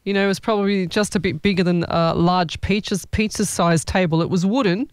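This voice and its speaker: A man, a psychic medium hosting a live stream, chatting in a rambling, excitable way. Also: sits high, brisk, clean tone, no room sound. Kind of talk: live-stream reading chat.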